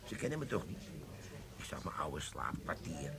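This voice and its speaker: Gravelly low-voiced sergeant, cheeky wheeler-dealer, in a radio drama with pub chatter behind. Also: male voice, very animated, moderate pace, full character voice. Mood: bantering. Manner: cheeky, flirtatious, conspiratorial. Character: sly scheming middle-aged army sergeant. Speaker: army sergeant Derry. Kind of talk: radio play acting.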